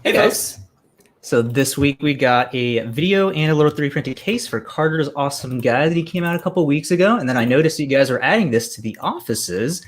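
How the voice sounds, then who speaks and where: A man's voice: fairly high pitch, lively intonation, brisk. A man giving an informal, casual show-and-tell demo as a project presenter.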